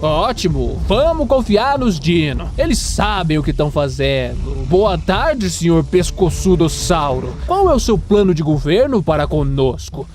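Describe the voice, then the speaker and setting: Music plays clearly behind a man acting out a jokey, sarcastic castaway in an audio drama. He has a Brazilian accent and a slightly high voice, full of slangy irony. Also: very animated, a little slow, hardly any pauses, darkish tone. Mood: mocking. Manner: ironic, slangy. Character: sarcastic, jokey young male dinosaur-land castaway.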